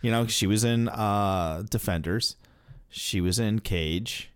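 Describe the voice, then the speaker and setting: A man's voice: on the low side, slow pace, smooth. A man co-hosting a podcast in casual, opinionated chat. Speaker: podcast co-host.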